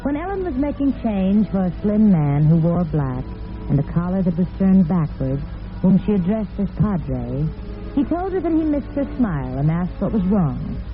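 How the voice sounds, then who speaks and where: A woman's voice: medium pitch, natural voice. A woman playing the storyteller hostess of a romantic old-time radio serial, narrating from a script. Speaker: narrator and host. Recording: narrow old radio recording.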